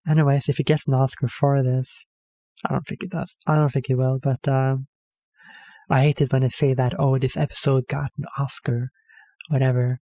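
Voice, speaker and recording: Man, mellow voice, dry sound, hesitant rambling reaction host. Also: mid-range voice, little pitch movement, moderate pace, no echo. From Norway. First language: Norwegian. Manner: hesitant, rambling, self-correcting with many fillers. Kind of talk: casual rambling commentary.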